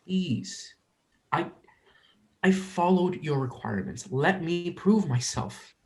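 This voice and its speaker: An earnest, insistent college researcher, acted by a young performer in a drama reading. The mid-pitched voice sits between male and female and sounds defensive. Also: animated, takes it slowly, darkish tone. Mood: earnest pleading.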